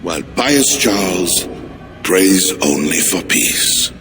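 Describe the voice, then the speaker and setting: A man's voice: low-pitched, wildly swooping pitch, slow, fairly bright tone, full character voice. An actor voicing an unstable, scheming medieval king who swings between frightened pleading and sly mockery. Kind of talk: video game cutscene. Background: music.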